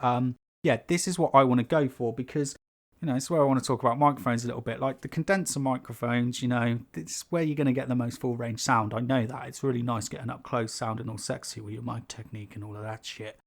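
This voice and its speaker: Man, mid-pitched, sibilant and slightly rough-throated, rambling casual delivery, small home room, overhead condenser mic. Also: fairly even intonation, brisk. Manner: rambling, chatty, many fillers and asides. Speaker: YouTube creator. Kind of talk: casual unscripted talk to camera. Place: small carpeted home room with foam panels. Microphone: pencil condenser on a stand above the speaker.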